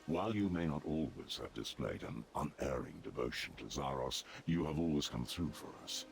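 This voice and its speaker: An actor playing a solemn, devout ancient servant of a dark god in a fantasy video game, speaking formally and gravely. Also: male voice, sing-song, heavily acted. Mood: solemn, persuasive. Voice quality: very deep, gravelly. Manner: formal, grave, measured and declarative. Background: music.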